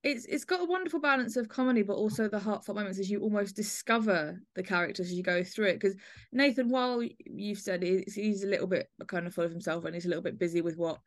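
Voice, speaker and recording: A woman speaks as a podcast host. Her pitch sits in the middle of the range for women. She talks in a conversational reviewing style, as if discussing a work with a guest. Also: fast talker. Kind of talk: conversational review of an audio play.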